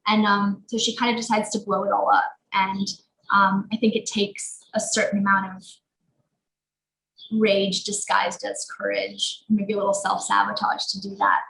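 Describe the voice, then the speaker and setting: Chatty young woman with gravelly voice. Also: mid-range voice, little pitch movement, moderate pace, fairly bright tone, a little hiss or hum. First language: Portuguese. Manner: chatty, lots of fillers like "um" and "like". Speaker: actor guest. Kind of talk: casual interview talk. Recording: roomy echo.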